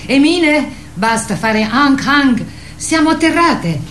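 An actress playing a grown female wild goose in a radio drama, calm, reassuring and dreamy. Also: high-pitched, slow, a little hiss or hum, heavily acted. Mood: admonishing.

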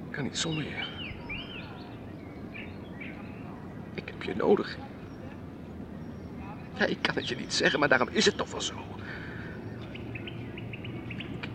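An actor playing a timid, clinging husband who craves security, speaking hesitantly and placatingly in a radio play. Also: male voice, medium pitch, vocal fry, heavy background noise. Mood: frightened, forlorn. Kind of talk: radio drama acting.

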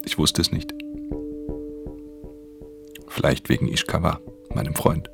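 An actor playing a weary, watchful pickpocket who narrates a radio crime drama in a measured, introspective way. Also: male voice, very deep voice, vocal fry, fairly bright tone, soft background music, exaggerated voice. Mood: brooding, watchful. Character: male professional pickpocket, haunted, watchful, weary loner.

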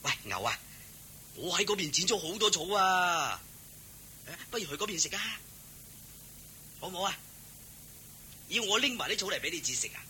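A man with a Hong Kong Cantonese accent playing a simple, playful, eager young farm servant in a radio drama, chattering excitedly and stumbling over words. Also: sits high, moderate pace, long pauses, full character voice. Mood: coaxing, chatty. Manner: excitable, stammering, deferential chatter. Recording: hissy old radio drama recording.

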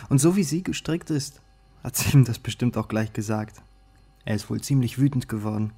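An actor playing a worried young male student in a radio crime play, talking in an uneasy, colloquial way with a husky voice. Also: medium pitch, moderate pace, warm tone, lightly acted. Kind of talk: German radio play dialogue.

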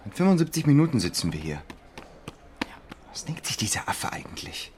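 A man's voice: medium pitch, sing-song, fairly quick, darkish tone, natural voice. A male actor playing a sardonic, grumbling freelancer in naturalistic radio play dialogue, dry and muttering. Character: grumbling, sardonic freelance writer kept waiting. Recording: clear background noise.

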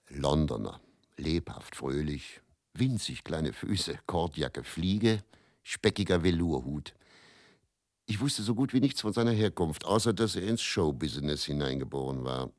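An actor with pronunciation playing a weary, self-doubting male faith healer who confesses deliberately and broodingly in a radio play monologue. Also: deep voice, darkish tone, no echo, studio quality. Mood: wry, reminiscing.

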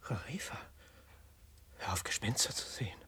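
An actor playing a cowardly, melodramatic drunken lover in a German radio drama, overwrought and declamatory. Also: male voice, on the low side, normal speed, slightly rough, exaggerated voice. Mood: feverish, hushed. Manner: overwrought, declamatory, lurching between whispers and shouts. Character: drunken, melodramatic lover, a cowardly self-pitying male friend. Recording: noisy radio play recording.

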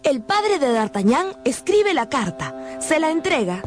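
A woman narrating a radio drama in a scripted storytelling style with deliberate emphasis. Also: sits high, big pitch swings, soft background music.

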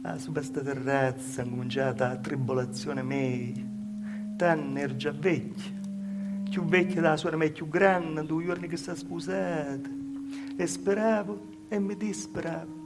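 A man's voice: on the high side, steady tone, unhurried, over loud music. A male stage actor playing a humble, naive peasant woman with a Calabrian accent, telling her hard life in a plaintive dialect monologue that circles back over its own words. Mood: sorrowful. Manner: plaintive storytelling with insistent repetitions. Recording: live stage recording with faint noise.

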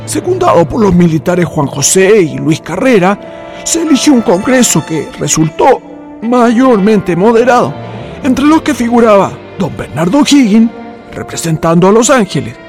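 Guy playing old chilean gentleman storyteller, radio play, highish voice that swoops around, music under it. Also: exaggerated voice. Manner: theatrical, unhurried, storytelling. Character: courteous, theatrical old man, storyteller host. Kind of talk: Spanish-language radio drama acting.

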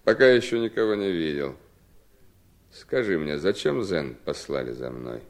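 An actor playing a tired, ailing gentleman of about sixty in a 1970s Soviet radio drama, gentle but firm. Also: a bass voice, lively intonation, moderate pace. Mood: grave, firm. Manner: tired, gentle, quietly commanding.

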